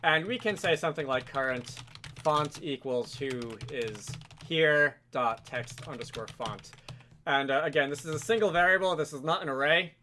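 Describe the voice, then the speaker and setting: A man narrating a software tutorial casually and informally, thinking aloud as a host with a wry streak. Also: a little high, normal speed, a little hiss or hum.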